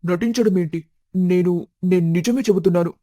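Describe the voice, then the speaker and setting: A man acts a reluctant young man in an animated moral story. He sounds earnest and protesting, in a high but darkly mellow voice. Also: fairly quick, natural voice. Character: reluctant young man hiding his love.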